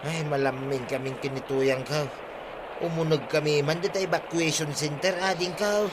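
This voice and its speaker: A man's voice: a little high, steady tone, moderate pace, close to an everyday voice. A man with an Ilocano accent playing a distressed villager husband in a radio comedy drama. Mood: rueful. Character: distressed villager husband whose home and field collapsed. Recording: noisy radio drama with loud ambient effects.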